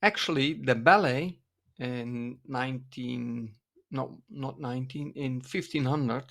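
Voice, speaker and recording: Older-ish dutch guy on a podcast, really slow, halting english, medium pitch. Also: gravelly, fairly bright tone. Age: middle-aged or older. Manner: halting, searching for words in English. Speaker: podcast co-host, dance teacher and coach. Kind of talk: casual podcast discussion.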